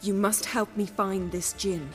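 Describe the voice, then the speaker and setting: A woman's voice: medium pitch, fairly even intonation, a little slow, faint music behind, natural voice. Woman playing a proud, commanding sorceress. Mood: earnest, determined. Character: proud, commanding, dryly teasing female sorceress. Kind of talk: video game cutscene dialogue.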